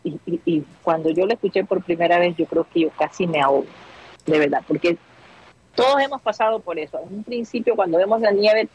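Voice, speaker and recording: A woman's voice: lowish voice, brisk, a little mellow, slightly rough, poor audio. A woman co-hosting a casual chat show, talking in a reflective way and often agreeing with the others. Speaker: co-host.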